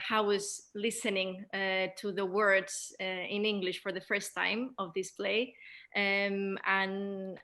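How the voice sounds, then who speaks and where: A woman moderating a post-show theatre Q&A as host, speaking in halting, repetitive phrases. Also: mid-range voice, pushed and bright.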